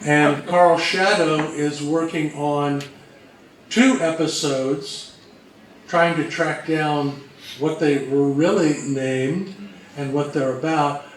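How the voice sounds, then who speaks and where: Male panelist, fairly high voice, informal answers, recorded from afar with heavy room echo. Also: steady tone, slow. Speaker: panel presenter. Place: indoor event room, recorded from a distance. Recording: very echoey room, distant microphone.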